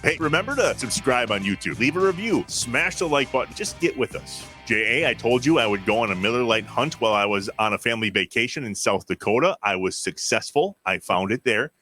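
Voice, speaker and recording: A man's voice: medium pitch, animated, a little fast, hardly any pauses, bright, forceful tone, faint music behind. A man hosting a sports podcast, moving between casual chat and sponsor reads. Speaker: podcast host.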